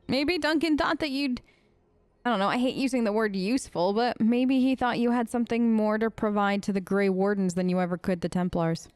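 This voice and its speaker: A woman's voice: fairly high pitch, brisk, a little mellow, smooth, no echo. A woman role-playing in a video game let's play, casual and wry with a hesitant, improvised delivery.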